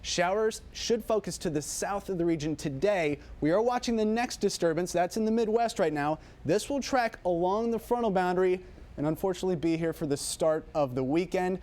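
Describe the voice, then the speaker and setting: A man presenting the morning TV weather forecast in a relaxed, chatty way, thinking aloud with casual asides. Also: high voice, brisk. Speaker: TV meteorologist.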